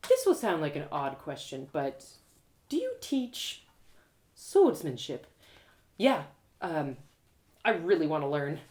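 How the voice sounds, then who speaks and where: Woman as an eager, awkward adventurer girl, very expressive. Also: low-pitched, takes it slowly, pauses often, full character voice. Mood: hopeful, hesitant. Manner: hesitant, apologetic, rambling.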